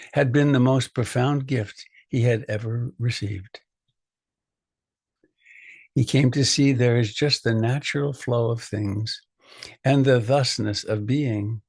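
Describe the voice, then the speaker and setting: A man, a meditation teacher, reading a reflective poem aloud in a measured, deliberate way. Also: medium pitch, no echo.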